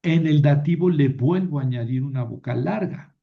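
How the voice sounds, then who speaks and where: A man's voice: a little high, moderate pace, gravelly. A man teaching a recorded grammar lesson, explaining step by step and answering his own questions. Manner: patient, explains step by step and repeats key rules. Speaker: Greek teacher. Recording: dull lo-fi sound.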